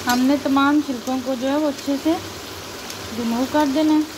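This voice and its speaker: A woman, calmly explaining each cooking step as a recipe voice-over. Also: high-pitched, normal speed, noisy background. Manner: calm, instructive, points things out to viewer. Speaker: cooking channel host. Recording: loud frying sizzle behind voice.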